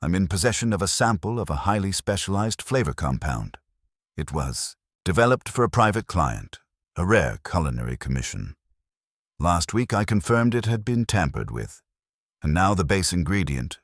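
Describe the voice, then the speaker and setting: A man's voice: low-pitched, big pitch swings, normal speed, no room sound, clean studio sound. A man voicing an earnest culinary chemist in his late thirties, formal and stiff, in an audio mystery. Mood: formal, anxious. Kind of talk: detective audio drama.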